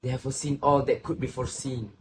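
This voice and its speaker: A man playing a sorrowful, reflective old grandfather in a stage play, speaking in a slow, solemn, literary way. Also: mid-range voice, fairly even intonation, lightly acted. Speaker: student actor. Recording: faint background noise.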